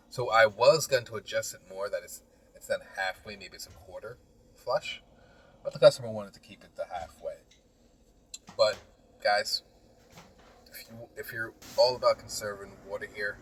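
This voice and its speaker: A man's voice: on the low side, bright, forceful tone, far from the mic, slight background noise, poor audio. A man who is a plumber, giving a rambling, teasing voice-over for a do-it-yourself repair video and talking straight to the viewer. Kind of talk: joking DIY voice-over. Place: small bathroom.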